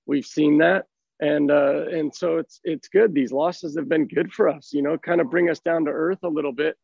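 A head coach who is losing his voice, speaking in a raspy tone and laughing easily between thoughts. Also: a little high, steady tone, normal speed, darkish tone, no echo, highs cut off. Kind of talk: phone interview.

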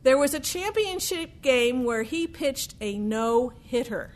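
A woman telling a true story aloud in a deliberate way, with dramatic pauses and repetition. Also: sits high, lively intonation.

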